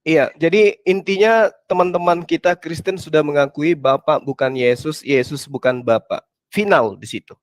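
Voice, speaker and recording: A man's voice: sits high, no room sound. A man debating religion assertively, with emphatic declarations and short, repeated verdicts.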